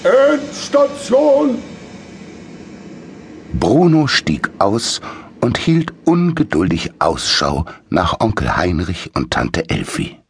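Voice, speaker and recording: A man with a husky, gravelly voice narrating a children's story in an expressive, dramatic way. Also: sits low, takes it slowly, a little hiss or hum. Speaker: audiobook narrator.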